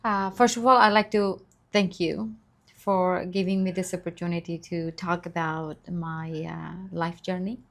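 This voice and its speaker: A middle-aged woman from Nepal speaks in a low voice that stays flat and level. She tells her story in an earnest, grateful, measured way, like a guest in an interview. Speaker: nurse practitioner guest. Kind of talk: interview answers, life story.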